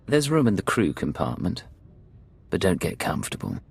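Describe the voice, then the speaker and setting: A man's voice: low-pitched, wildly swooping pitch, normal speed, vocal fry, husky, full character voice. A man playing a hard, guarded secret agent in a video game, speaking curtly and defiantly. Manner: curt, guarded. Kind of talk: video game cutscene voice acting.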